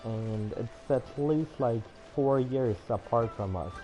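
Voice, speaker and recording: Male game streamer, mid-pitched, rambling off the cuff, with clear game music behind him. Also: takes it slowly, mellow, rough recording. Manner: rambling, thinking aloud. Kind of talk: casual livestream chat while gaming.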